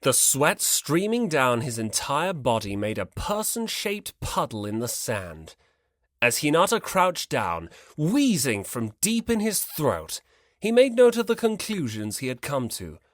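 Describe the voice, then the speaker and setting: Lively audiobook narrator, dry and close. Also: mid-range voice, measured pace, no room sound. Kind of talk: scripted expressive fiction narration.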